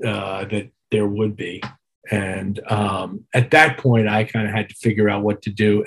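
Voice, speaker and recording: Husky middle-aged man explaining in detail. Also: lowish voice, a little mellow, rough recording. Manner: reflective, detailed explaining with trade terms. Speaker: interview guest, touring keyboard player. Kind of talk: conversational interview answers.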